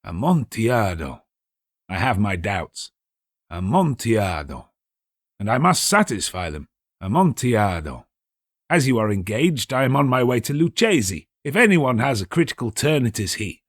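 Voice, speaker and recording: Man playing a sly, coaxing gentleman, lilting delivery. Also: fairly low pitch, sing-song, moderate pace, no echo, clean studio sound. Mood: coaxing, baiting. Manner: courteous, coaxing, formal. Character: sly vengeful gentleman feigning polite, solicitous friendship. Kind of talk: audiobook character dialogue.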